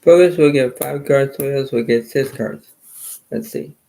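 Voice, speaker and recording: A soft-spoken man, a little hoarse, hosting a card game and rambling in repeated filler phrases. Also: mid-range voice, unhurried, poor audio. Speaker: channel host playing cards. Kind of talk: casual rambling talk to camera.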